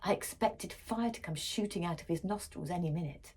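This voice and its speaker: A woman's voice: lowish voice, fairly quick, a little mellow, slightly rough. A woman reading a children's story aloud expressively, as for a school library.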